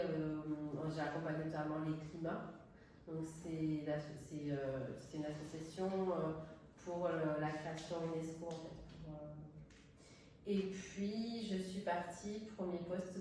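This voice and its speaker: A woman's voice: low-pitched, steady tone, measured pace, dark tone. A middle-aged woman from southern France speaking off the cuff in an interview, hesitating and searching for her words. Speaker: tourist office director, interviewee. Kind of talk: spontaneous interview talk. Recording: very echoey room, distant mic, faint noise.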